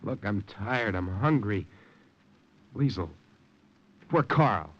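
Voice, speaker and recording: An actor playing a weary, anxious American undercover agent in a 1950s radio drama. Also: male voice, fairly low pitch, sing-song, takes it slowly, full character voice. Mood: weary, earnest. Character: weary, anxious American undercover agent behind German lines, recounting his story. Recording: noisy, muffled old radio broadcast.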